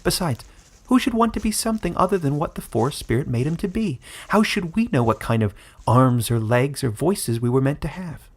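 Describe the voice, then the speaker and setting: A man's voice: on the high side, fairly quick, voice pushed up. Man doing a chattering furry little forest creature. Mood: gentle. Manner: chattering, lively and gentle. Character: cheerful small furry male Bando creature, gentle and wise.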